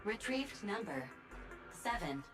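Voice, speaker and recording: Formal AI assistant, lively intonation. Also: female voice, mid-range voice, takes it slowly. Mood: formal, dutiful. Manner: formal, precise announcing. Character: formal female AI assistant, calm and dutiful. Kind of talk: scripted game voice acting. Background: game music.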